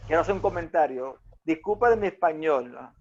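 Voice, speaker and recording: A man whose first language is English, speaking Spanish in a casual Bible discussion and often pausing to search for words. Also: a little high, expressive, darkish tone, highs cut off. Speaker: Bible study participant.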